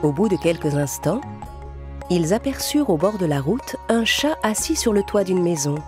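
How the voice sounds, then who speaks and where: Casting: female storyteller, slightly low pitch, scripted children's narration over clear background music. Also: big pitch swings, moderate pace.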